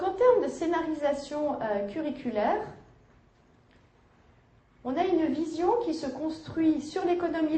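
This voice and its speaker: A woman giving an academic conference lecture, measured, with hesitations and self-corrections. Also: high-pitched, dark tone. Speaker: university lecturer. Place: lecture hall. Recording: echoey lecture hall.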